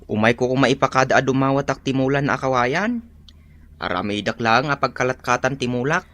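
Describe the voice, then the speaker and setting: An actress with a Filipino Ilocano accent playing a polite, neighborly cousin in a radio drama. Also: female voice, rumbling low, a little fast, a bit bright. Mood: polite, friendly.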